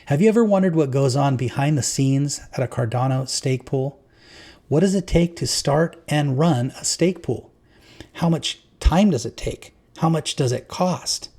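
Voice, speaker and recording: A man's voice: fairly high pitch, a little slow. A man reading a rehearsed, friendly introduction to an interview as a voice-over. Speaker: show introducer and panelist. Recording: voice-over with guitar music behind. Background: soft guitar music.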